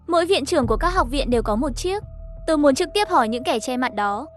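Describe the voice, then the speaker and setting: High-pitched woman narrating a story over music. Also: animated, fast talker. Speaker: narrator voicing all characters of an animated horror story. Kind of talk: scripted narration with read-out dialogue. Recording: music playing behind the voice. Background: background music.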